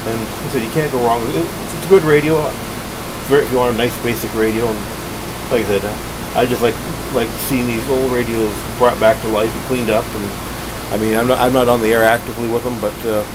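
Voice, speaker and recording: A man giving a casual hands-on gadget overview as a hobbyist host, plain-spoken, rambling and halting. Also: mid-range voice. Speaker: radio collector and video host. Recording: steady hiss and hum behind voice.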